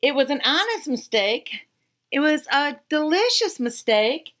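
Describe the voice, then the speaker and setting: A woman in her thirties reading a children's picture book aloud expressively, with dramatic pauses and emphasis. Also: sits high, very animated, fairly bright tone. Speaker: read-aloud storyteller.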